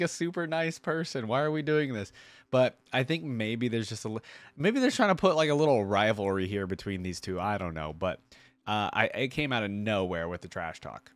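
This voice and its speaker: A man's voice: medium pitch, no echo. A young man hosting a reaction video, chatting in an enthusiastic, slangy, rambling way. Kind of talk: casual chat, fan commentary.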